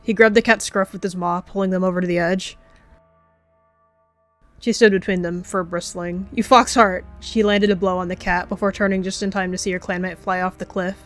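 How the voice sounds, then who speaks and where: A woman's voice: lowish voice, flat delivery, brisk, faint music behind. A woman narrating as a storyteller, reading scripted fiction in a dramatic style. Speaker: storyteller and roleplayer.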